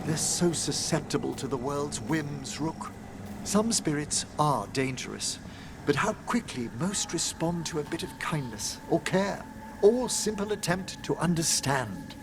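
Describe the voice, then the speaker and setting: An actor playing a gentle, bookish gentleman necromancer in a video game, speaking in a formal, measured, eloquent way. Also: a little high, lively intonation, background noise. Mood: earnest, gentle. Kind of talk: video game dialogue acting.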